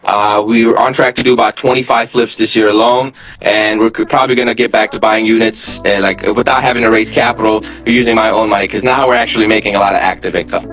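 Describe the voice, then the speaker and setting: A man's voice: fairly low pitch, steady tone, fast talker, few pauses, fairly bright tone, poor audio. A young man from Armenia, a real estate investor, telling his story in a candid, plainspoken interview. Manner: candid, plainspoken, lots of fillers.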